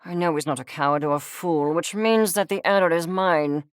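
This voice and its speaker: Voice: mid-range voice, normal speed, smooth, no room sound, studio quality, natural voice. An actor, sounding female, voicing an arrogant, obsessed mad-scientist creature in a video game, with pompous, clinical, precise diction. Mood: frustrated. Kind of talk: theatrical game character acting.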